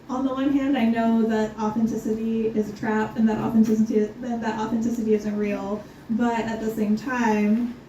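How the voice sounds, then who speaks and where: A woman's voice: medium pitch, warm tone, a little hiss or hum. Distant thoughtful woman in a roomy hall. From United States. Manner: thoughtful, hesitant, many ums, laughs lightly. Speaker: scholar guest. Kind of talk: panel conversation. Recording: roomy, distant, slightly dull.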